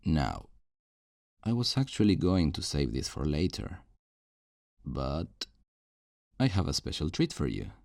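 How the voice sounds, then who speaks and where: Man acting a very deep, creepy possessive kidnapper. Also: sing-song, gravelly, darkish tone, dry recording, studio quality, full character voice. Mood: pleased, coaxing. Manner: slow, coaxing, condescending endearments with veiled threats. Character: possessive male kidnapper, falsely tender, controlling and menacing. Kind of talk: ASMR roleplay, scripted intimate monologue.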